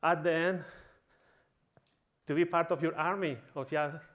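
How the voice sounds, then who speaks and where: A man's voice: fairly high pitch, fairly even intonation, measured pace, a bit bright. A man from Spain with a Spanish accent, giving a humorous conference talk in a tongue-in-cheek, mock-villainous manner. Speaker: conference speaker.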